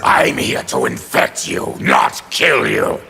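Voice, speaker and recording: An actor voicing a fierce, proud monster warrior in a video game, growling and menacing. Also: male voice, on the low side, animated, slow pace, a little hiss or hum, heavily acted. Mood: threatening. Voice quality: gravelly, growling. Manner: menacing, forceful. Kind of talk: video game character dialogue. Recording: loud game music behind.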